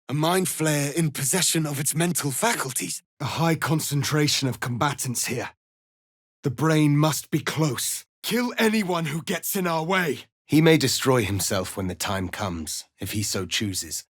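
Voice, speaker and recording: A man playing a proud, solemn alien warrior prince in a fantasy video game, speaking in a formal, commanding, deliberate way. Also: fairly high pitch, animated, no room sound, clean studio sound. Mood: fierce, rousing, then grave, weary. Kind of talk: dramatic fantasy game acting.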